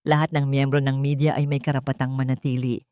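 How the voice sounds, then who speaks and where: A woman voicing a calm, powerful, supportive man in a story, with a Filipino accent and a firm, measured, authoritative manner. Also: very deep voice, clean tone, dry recording, clean studio sound, voice pushed down.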